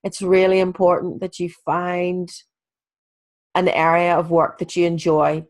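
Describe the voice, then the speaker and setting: A woman's voice: fairly low pitch, flat delivery, unhurried, a little mellow. Stuffy-nosed chatty woman host. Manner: chatty, friendly advice-giving. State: stuffy with a cold. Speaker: podcast host. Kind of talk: casual interview chat.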